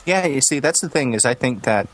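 A man's voice: medium pitch, animated, brisk. A man co-hosting a podcast in casual chat, with a blunt, sarcastic manner. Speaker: podcast co-host.

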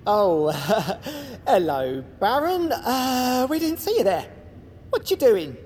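A woman's voice: fairly high pitch, sing-song, takes it slowly, darkish tone, slight background noise. A woman voicing a goofy, sheepish villain's henchman creature with a hesitant manner in a comic audio drama. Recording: reverberant room with background ambience.

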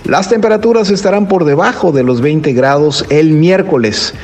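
A man's voice: fairly high pitch, moderate pace, a little mellow. A man delivering a scripted weather forecast as an announcer. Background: music behind the voice.

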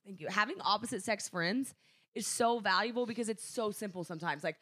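A woman's voice: mid-range voice, a little fast, a bit bright, dry recording, clean studio sound. A young woman co-hosting a podcast in casual chat, blunt and teasing, also reading an ad with jokes mixed in. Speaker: podcast co-host. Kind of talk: casual chat and host-read ad.

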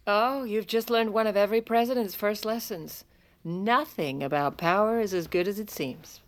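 A woman's voice: medium pitch, normal speed, close to an everyday voice. An actress playing a shrewd, sardonic First Lady who secretly steers the presidency in an audio drama. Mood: wry, worried. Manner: dry, sardonic, conspiratorial.

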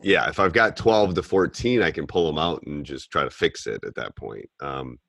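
A man with a very low, deep voice talks through how he coaches, plain-spoken and repeating his points. The sound is dry and close, with no room around it. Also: normal speed, gravelly, fairly bright tone, no room sound. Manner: plain-spoken, repeats phrases, acts out what he tells players. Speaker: basketball coach giving advice. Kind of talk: casual advice chat.

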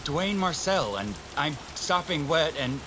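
An actor playing a blunt, irritable, wisecracking visitor in an audio drama, sardonic and impatient. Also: male voice, on the high side, big pitch swings, unhurried, background noise. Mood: irritated, sarcastic. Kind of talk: naturalistic audio drama acting. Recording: rain and ambience behind the voice.

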